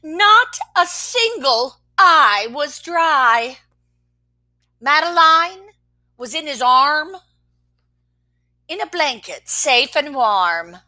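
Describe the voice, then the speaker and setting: A woman reading a picture book aloud to children, expressive, with soft whispered asides between the verses. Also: sits high, very slow, pauses often. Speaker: children's story reader.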